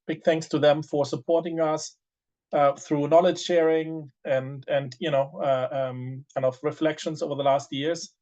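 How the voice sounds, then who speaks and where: A man's voice: on the high side, flat delivery, unhurried, a little mellow, dull audio. A man moderating an online panel, speaking with many fillers and restarts over a video call. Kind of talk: webinar moderation.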